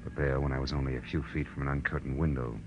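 Very deep, wry hard-boiled private eye. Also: male voice, flat delivery, fast talker, background noise. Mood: brooding, wry narration. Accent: American. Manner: wry, clipped, sardonic. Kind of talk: 1940s radio noir acting, first-person narration and dialogue. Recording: dull vintage radio recording with music.

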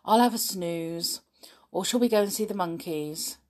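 A woman's voice: fairly low pitch, normal speed, lightly acted. A woman voicing an eager young boy with casual, easygoing schoolboy talk in a children's storybook.

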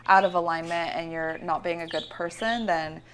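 Soft-pitched woman rambling with fillers. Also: steady tone, normal speed, a little hiss or hum. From California. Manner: rambling, many fillers and restarts. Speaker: visionary artist guest. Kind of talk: casual interview chat. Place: her home.

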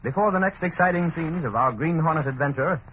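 A man's voice: on the high side, a little fast. A man announcing and narrating a radio serial in dramatic, scripted 1930s style. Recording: muffled, hissy old radio broadcast.

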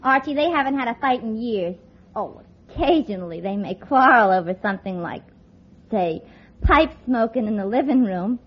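A sassy deadpan girl. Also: on the high side, normal speed, husky. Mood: tart. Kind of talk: 1940s radio comedy acting. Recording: dull old radio broadcast, noisy.

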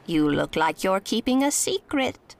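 An actress playing a nosy, kindly older woman who worries aloud in quick strings of questions. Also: mid-range voice, big pitch swings, creaky. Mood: worried. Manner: fretful rapid questions. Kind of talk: audio drama acting.

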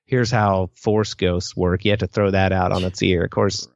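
A man's voice: sits low, flat delivery, normal speed, creaky, dry recording, muffled recording. A man co-hosting a podcast in casual fan discussion, thinking aloud and hedging as he goes. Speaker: podcast co-host.

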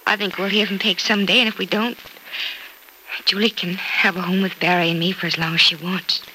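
A gentle young woman in an old radio soap, hopeful and devoted from her hospital bed. Her voice is bright and clear-cut over faint recording noise. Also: on the low side, little pitch movement, fairly quick, rough recording. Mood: earnest, hopeful. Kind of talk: 1940s radio soap opera acting.

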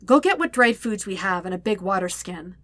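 A woman's voice: mid-range voice, expressive, a little fast, fairly bright tone, no echo, natural voice. A woman voicing an exasperated, dutiful young man in a story reading, emphatic and sarcastic. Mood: urgent, resolute.

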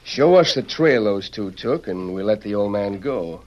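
An actor playing a ruthless, bullying Western outlaw leader in an old-time radio drama, gruff and threatening with cowboy slang. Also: male voice, lowish voice, lively intonation, moderate pace, lightly acted. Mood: coolly threatening. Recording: muffled, hissy old radio recording.

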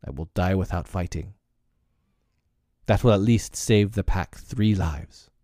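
Old male wolf leader, read by a man, weary, proud, formal, slow, dark-toned, dry. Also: low voice, no room sound. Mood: grave. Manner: grave, formal, defiant. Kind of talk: storybook reading.

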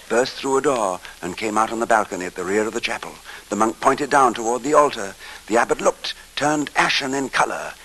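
A man narrating a scripted tale in classic radio style, theatrically moving between hushed and emphatic delivery, with music behind him. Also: lowish voice, slight background noise, low-quality recording. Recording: old radio broadcast, music underneath.

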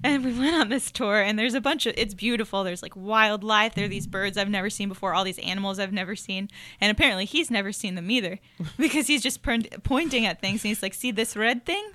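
A woman's voice: mid-range voice, fairly quick, bright, forceful tone. A woman co-hosting a casual chat, laughing often as she tells a travel story. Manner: laughs often while telling stories. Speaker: co-host.

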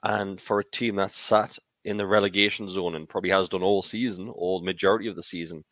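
Man, podcast host, rambling chatty delivery, deep voice. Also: steady tone, fast talker, fairly bright tone, no echo. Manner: rambling, laughs easily, talks in bursts. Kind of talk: casual chat.

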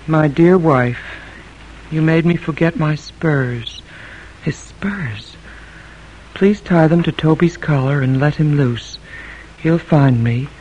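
An actress playing a steadfast, gentle colonial wife and mother, speaking in a measured, tender old radio drama manner. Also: low voice. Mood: wistful. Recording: muffled, noisy old radio recording.

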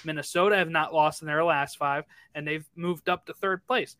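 A man's voice: on the high side, fairly even intonation, moderate pace, pushed and bright, a little hiss or hum. A man co-hosting a soccer podcast, chatting casually and rattling off the weekend's match schedule. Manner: rattles off fixture lists, chatty. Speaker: podcast co-host. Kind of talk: casual sports chat.

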